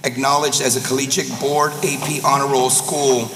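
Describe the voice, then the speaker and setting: A slightly hoarse man runs a school board meeting in a formal, courteous way, calling names and announcing agenda items. He is heard in a large, roomy hall with a long reverb. A faint hum of room noise sits under his voice. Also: medium pitch, flat delivery, moderate pace, sharp tone.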